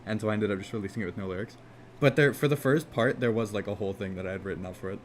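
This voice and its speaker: A man casually chatting on a game development live stream, rambling and swearing easily, with a somewhat monotone voice. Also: fairly low pitch, rapid-fire, slight background noise. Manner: rambling, laid-back, swears casually, frequent "like" fillers.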